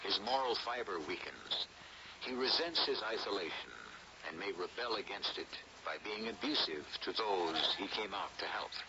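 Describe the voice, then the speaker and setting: An actor narrating an old-time radio drama as a sober, reflective man with a measured, formal delivery. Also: lowish voice, expressive. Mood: grave. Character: sober, reflective male narrator of a jungle tale. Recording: very noisy, dull vintage radio recording.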